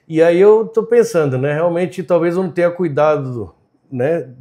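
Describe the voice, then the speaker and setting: A man's voice: fairly high pitch, moderate pace, dark tone. An Argentine-born man whose first language is Spanish, a chef and entrepreneur chatting openly as a podcast guest, often laughing and tagging lines with "né?". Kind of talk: casual interview chat.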